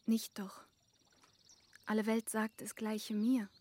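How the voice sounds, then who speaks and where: A woman's voice: fairly high pitch, completely flat delivery, slow, darkish tone. An actress playing a devoted, self-denying young woman in a German radio play, earnest and pleading in formal literary speech. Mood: gentle.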